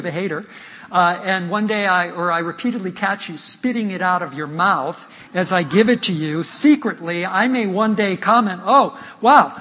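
A man preaching an expository sermon as a pastor, emphatic and deliberate, repeating key points and posing rhetorical questions. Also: high voice, pushed and bright. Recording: echoey hall with long reverb.

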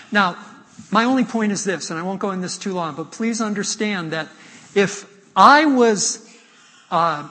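A man preaching an expository sermon as a pastor, emphatic and deliberate, repeating key points and posing rhetorical questions. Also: sits high, pushed and bright. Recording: echoey hall with long reverb.

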